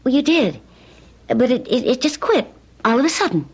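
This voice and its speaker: A woman's voice: high voice, big pitch swings, moderate pace, a little mellow, slightly rough, heavily acted. An actress playing a policeman's anxious, caring wife in an old-time radio drama, speaking in a coaxing, hesitant way. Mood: evasive, uneasy. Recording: dull, lo-fi radio recording.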